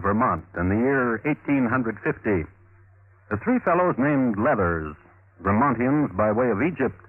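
An actor playing a dry, wry crime-history storyteller, narrating a 1950s radio show in a deadpan manner. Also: male voice, medium pitch. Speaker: host and narrator. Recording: tinny vintage radio recording.

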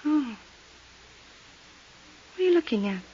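Scatterbrained socialite wife for radio drama: high, airy, affected, muffled vintage recording with faint hiss. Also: female voice, very animated, unhurried, warm tone. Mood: flustered, puzzled. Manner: breezy, affected, chattering. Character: flighty, scatterbrained society wife, airy and theatrical. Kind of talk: 1950s radio drama acting.

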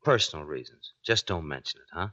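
A man's voice: low voice, lively intonation, fairly quick, pushed and bright. An actor playing a guarded, tender-hearted navy man in a 1940s radio drama, hesitant and subdued. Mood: awkward, subdued. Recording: dull 1940s radio broadcast recording.

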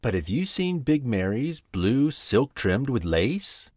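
A man's voice: medium pitch, very animated, slow pace, a little mellow, husky, no room sound, clean studio sound, voice pushed up, exaggerated voice. A man voicing a shy little freckled girl frog who speaks in a sweet, pleading way.

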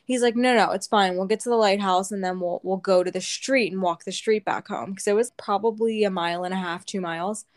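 A young woman with a medium-pitched voice, telling a story casually as a podcast co-host. She speaks in an animated way and swears without holding back. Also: fairly quick, few pauses.

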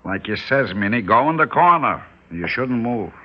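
An actor playing a calm, stubborn older shopkeeper who reasons in wry questions and Yiddish-inflected phrasing, in old-time radio style. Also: male voice, medium pitch, normal speed. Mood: wry, placating. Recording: muffled old radio recording.

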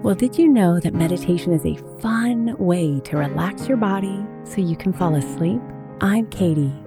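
A middle-aged woman narrating gently and calmly, as a podcast host guiding children toward sleep. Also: mid-range voice. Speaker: podcast host and meditation guide. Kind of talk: soothing scripted narration for children's sleep meditations. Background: music with guitar.

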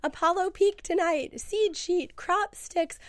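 A woman's voice: very high-pitched, slow. A woman who has lost her voice, hosting a casual TV after-show chat, chatty and self-mocking, leaning into a studio mic. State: hoarse, lost voice. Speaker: host.

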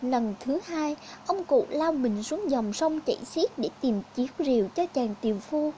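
Female children's storyteller, high-pitched, soft mellow tone, scripted fairy-tale narration, slight background noise. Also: expressive, a little slow.

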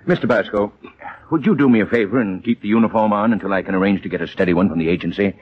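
An actor playing a formal, somewhat hesitant department store manager in an old radio drama. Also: male voice, fairly low pitch, very fast, natural voice. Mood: humbled, grateful. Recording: dull old radio recording, faint noise.

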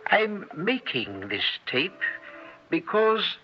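An actor playing a guarded, articulate scientist giving testimony in an old-time radio drama, speaking formally and precisely with dramatic emphasis. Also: male voice, sits high, very animated, very slow, soft background music, exaggerated voice. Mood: grave, confessional. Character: male scientist witness, articulate, guarded, confessing he lied. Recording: dull, band-limited old radio recording.

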